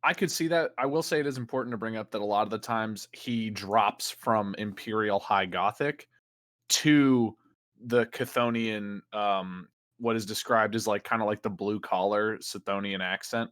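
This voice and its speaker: A man co-hosting a casual podcast roundtable, talking in animated, joking bursts. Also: lowish voice, moderate pace, dry recording, studio quality.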